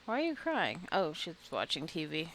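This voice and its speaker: A woman giving relaxed, chatty commentary while playing a video game, talking to the game characters and laughing often. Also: fairly low pitch, normal speed, slight background noise. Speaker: gaming video creator. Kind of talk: casual gameplay commentary.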